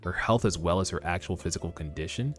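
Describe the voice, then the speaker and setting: A man voicing a calm, professional doctor, quiet and matter-of-fact, in a webtoon read-aloud. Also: low voice, fast. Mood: calm, clinical. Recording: background music under voice.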